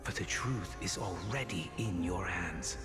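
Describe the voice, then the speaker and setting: A solemn sage and mentor, speaking slowly and formally in a long reverb. His kindly, paternal game-character delivery is measured, with music clearly behind it. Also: low-pitched. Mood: solemn, encouraging. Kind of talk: dramatic video game voice acting.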